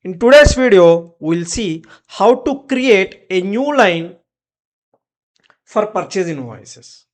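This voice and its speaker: Slow-paced software tutorial presenter. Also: medium pitch, animated. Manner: instructional, short clipped commands. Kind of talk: step-by-step screen-share tutorial narration.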